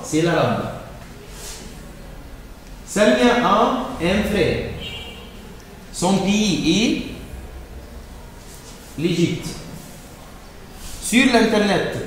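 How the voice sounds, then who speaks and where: A man walks through French exercises like a teacher, slow and halting, often repeating himself. His fairly high voice sits far back in a reverberant room with long echo. A faint hum of room ambience runs underneath. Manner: hesitant, repeats words and fills pauses with euh.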